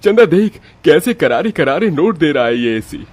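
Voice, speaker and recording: A man's voice: on the high side, big pitch swings, moderate pace, darkish tone. A man playing a poor village father in a cartoon moral story, emotional and exclamatory. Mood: amazed.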